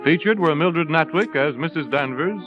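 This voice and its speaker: A man's voice: fairly high pitch, moderate pace. A man reading credits in the formal style of a 1930s radio announcer. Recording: muffled 1930s radio, music behind.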